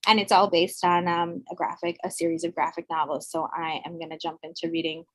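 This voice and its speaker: A woman from Bangladesh talks on a video call in a reflective, searching way, circling back and restarting her thoughts. Her voice sits a little low and the sound is close and dry, with no room around it. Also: little pitch movement, brisk, no echo. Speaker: school educator, co-host. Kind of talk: casual panel chat.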